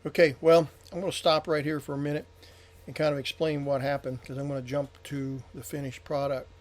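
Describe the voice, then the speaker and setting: A husky-voiced man thinking aloud in a casual, halting mutter as he hosts a hands-on repair video. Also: a little high, little pitch movement. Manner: halting, muttering to himself while working. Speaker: repair hobbyist and video host.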